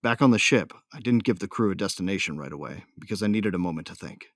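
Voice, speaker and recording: Brooding, hot-headed bounty hunter , male actor: deep, dry sound, slangy first-person audio drama storytelling with menace. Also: lively intonation, fast, dry recording.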